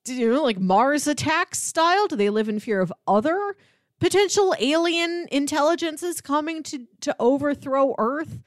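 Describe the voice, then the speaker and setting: A woman's voice: high voice, lively intonation, normal speed, no echo, clean studio sound. A woman podcast co-host in casual film discussion, thinking aloud and talking through examples in a conversational way.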